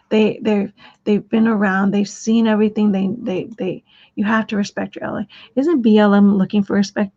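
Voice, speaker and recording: A woman hosts a live stream, her voice heavy with gravelly fry and a husky edge. She rambles, repeating words and restarting her sentences. The stream audio is dull and lo-fi. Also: medium pitch, a little mellow. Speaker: livestream host.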